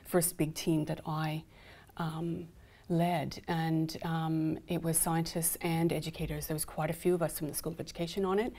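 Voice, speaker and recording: A woman's voice: low voice, little pitch movement, normal speed, warm tone. A middle-aged woman with a Canadian accent, an academic chatting enthusiastically on a panel. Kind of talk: conversational panel discussion. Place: indoor studio panel set.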